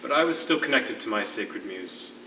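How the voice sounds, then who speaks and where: A man playing an imprisoned poet in a live one-act play, eloquent and measured, with a wistful storyteller's air. His voice is strikingly sharp and projected, heard from far off in a roomy space with faint noise. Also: on the low side, animated, full character voice. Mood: gentle, reflective. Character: lyrical, wistful former poetry teacher, a political prisoner. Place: theatre stage, recorded from a distance. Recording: echoey, distant stage recording with faint noise.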